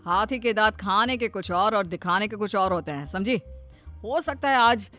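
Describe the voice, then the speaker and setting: A manipulative young husband from a cartoon family drama, played by a woman. He wheedles and protests in a bright, lively-pitched voice, always playing innocent. Music runs behind him. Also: moderate pace. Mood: sly warning.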